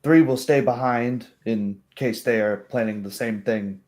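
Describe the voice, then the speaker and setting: Man voicing a slow, measured female kobold plotter. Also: mid-range voice, darkish tone, close to an everyday voice. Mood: measured, practical. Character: female kobold go-between, plain-spoken plotter.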